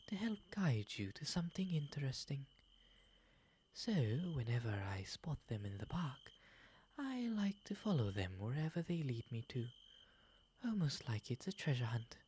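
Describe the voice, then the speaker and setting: A woman voicing a gentle, refined male owl demon in an ASMR roleplay, softly and breathily, mostly whispering, with formal, courteous phrasing. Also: rumbling low, very animated, normal speed, slight background noise. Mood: softly delighted.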